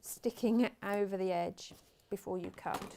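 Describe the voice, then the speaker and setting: A woman on a crafting show who explains sewing steps calmly and chimes in with brief replies and small exclamations. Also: medium pitch, a little slow, slight background noise. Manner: warm interjections, calm step-by-step instructions. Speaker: co-host. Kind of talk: casual chat while demonstrating sewing.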